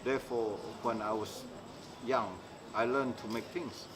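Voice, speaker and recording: A middle-aged man from Indonesia, speaking haltingly in an interview and often restarting as he searches for words. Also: medium pitch, steady tone. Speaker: interviewee, maker. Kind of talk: casual interview talk. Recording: clear background noise, insects.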